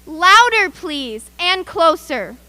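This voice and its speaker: A woman playing an eager, brisk radio field reporter in a live news report from a radio play. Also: very high voice, very animated, bright, forceful tone, no echo, heavily acted. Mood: impatient, polite.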